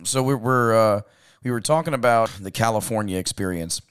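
A man's voice: fairly low pitch, brisk, smooth. A man from Long Island, New York, hosting a podcast in casual, slangy, laid-back banter. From Long Island, New York, United States. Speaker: podcast host.

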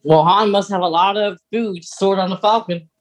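A podcast co-host in casual fan chat, geeky and halting, getting animated when amused. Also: mid-range voice. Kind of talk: casual fan chat about film lore.

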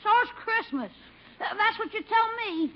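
A man's voice: very high-pitched, expressive, unhurried. A man playing a cheeky, nagging young boy with a brash, impatient manner in a 1950s radio comedy. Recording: dull, noisy vintage radio recording.

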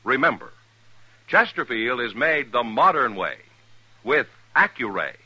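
A man's voice: sing-song, a little slow, noisy background. A man reading a polished, emphatic 1950s radio commercial as announcer. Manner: polished, emphatic sales pitch. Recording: dry 1950s radio recording.

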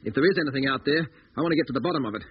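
A man's voice: medium pitch, rapid-fire, breathy edge, close to an everyday voice. A man acting in a 1950s radio drama as a determined, businesslike spaceship crewman. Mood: resolute, focused. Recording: muffled, noisy 1950s radio recording.

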